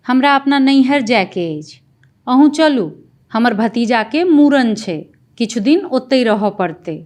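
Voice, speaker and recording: A woman voicing a scornful, bossy young daughter-in-law in a cartoon, sharp-tongued, with a Maithili accent. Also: fairly high pitch, animated, a little slow. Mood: bossy, impatient. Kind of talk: Indian cartoon dialogue.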